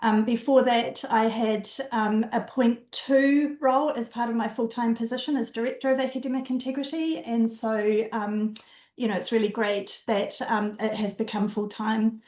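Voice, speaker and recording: A woman's voice: a little high, fairly even intonation, normal speed, a little mellow. A woman introducing herself as an academic integrity advisor, hesitant with many ums. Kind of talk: self-introduction on a panel. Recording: echoey room on a video call.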